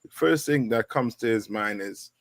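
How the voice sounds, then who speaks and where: A man's voice: fairly low pitch, normal speed, no echo. Guy co-hosting, casual, chimes in w short agreements, close dry sound. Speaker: podcast co-host. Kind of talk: casual talk with brief affirmations.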